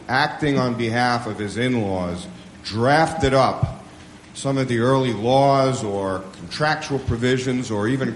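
Echoey hall, faint noise, man, mid-pitched, audience questioner, formal and halting, long-winded. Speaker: audience member asking a question. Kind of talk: prepared question at a public discussion.

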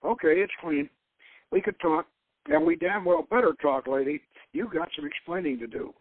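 A man's voice: on the high side, big pitch swings, moderate pace, dry recording, narrow, tinny audio. A man voicing a suspicious, teasing professor-adventurer in a dramatic novel reading, speaking bluntly. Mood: stern, suspicious. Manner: blunt, teasing, hard-boiled. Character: wry, suspicious male professor and adventurer, gruff flirt.

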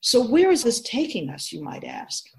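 A woman's voice: fairly high pitch, wildly swooping pitch, normal speed. A woman playing an assured immortal keeper of souls in a radio drama, explaining things in a composed, formal, didactic way. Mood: instructive. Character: feminine-voiced immortal spirit keeper of souls, assured and instructive.

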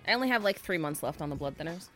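A woman's voice: low-pitched, expressive, rapid-fire, fairly bright tone, soft background music. A woman co-hosting a casual live-streamed podcast, mostly giving short replies and agreeing. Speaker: podcast co-host.

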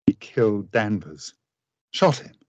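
An actor playing a tormented, remorseful gentleman scientist in a radio play, speaking with formal, brooding diction. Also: fairly low pitch, big pitch swings, slow, no room sound, muffled recording. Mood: shocked.

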